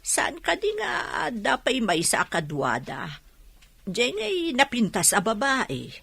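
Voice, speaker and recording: A woman's voice: a little high, huge pitch swings, takes it slowly, creaky, fairly bright tone, slightly rough, no room sound, exaggerated voice. An actress playing a curious, caring older mother figure in an Ilocano radio drama. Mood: curious, fond.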